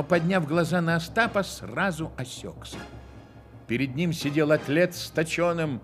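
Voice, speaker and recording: Expressive male radio-play narrator over music. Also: a little high. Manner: theatrical, wry.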